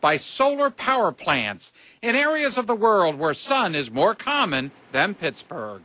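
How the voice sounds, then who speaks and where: High lively male news reporter. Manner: measured newscaster delivery.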